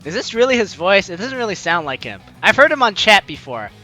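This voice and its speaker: A man's voice: high-pitched, very animated, a little fast. A man doing casual let's play commentary, reading game text aloud and adding teasing, sarcastic asides. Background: soft game music.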